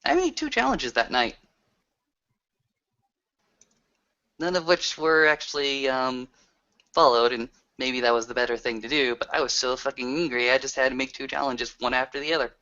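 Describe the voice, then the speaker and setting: A man playing an earnest, reflective werewolf in an improvised roleplay, talking in a deliberate, halting way. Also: mid-range voice, pushed and bright. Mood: frustrated. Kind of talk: improvised in-character roleplay conversation. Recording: dull lo-fi voice recording.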